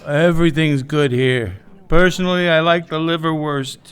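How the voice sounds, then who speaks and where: A man's voice: a little high, fairly even intonation, normal speed, lightly acted. A man playing a cheeky, easygoing deli counterman in a noir radio play, familiar and offhand.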